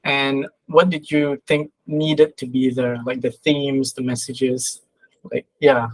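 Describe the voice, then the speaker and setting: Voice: medium pitch, little pitch movement, slow, no echo, poor audio. An interviewer moderating a panel in a casual, informal chatting style.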